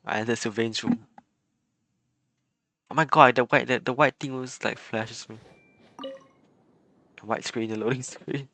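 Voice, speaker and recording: A man chatting casually on a game stream, halting and often repeating his words. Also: medium pitch, fairly even intonation, gravelly, pushed and bright, slight background noise. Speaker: live streamer.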